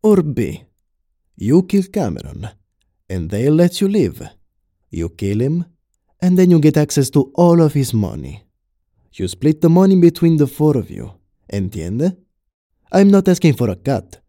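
An actor playing a menacing, taunting gangster boss who sprinkles in Spanish words, in a crime audio drama. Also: male voice, fairly high pitch, very animated, unhurried, pauses often, dark tone, dry recording, clean studio sound. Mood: coolly persuasive.

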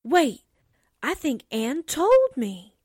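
A woman's voice: high voice, wildly swooping pitch, very slow, darkish tone, no echo, studio quality, full character voice. A woman playing a plucky, suspicious young newspaper secretary in a theatrical 1940s radio drama style. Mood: urgent.

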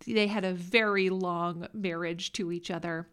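A woman's voice: lowish voice, fairly even intonation, a little slow, fairly bright tone, clean tone. Minnesota woman telling stories conversationally. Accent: Minnesota American. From Minnesota, United States. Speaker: podcast host. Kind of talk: conversational history storytelling.

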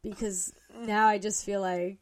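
A woman's voice: medium pitch, fairly even intonation, measured pace. A woman in her thirties chatting casually and bluntly as a podcast co-host. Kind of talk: casual chat reviewing a TV show.

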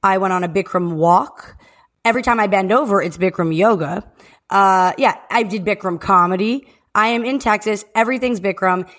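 A woman co-hosting a podcast from home, joking and riffing through casual banter with quick asides. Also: medium pitch, fairly bright tone. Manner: jokey, riffing, rapid asides. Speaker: podcast co-host. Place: home in Texas.

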